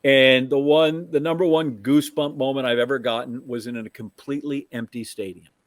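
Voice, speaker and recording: A man's voice: medium pitch, steady tone, no room sound. American man chatting sports, dry close sound. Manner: conversational, halting, self-interrupting storytelling. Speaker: sports show co-host. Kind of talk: casual sports chat.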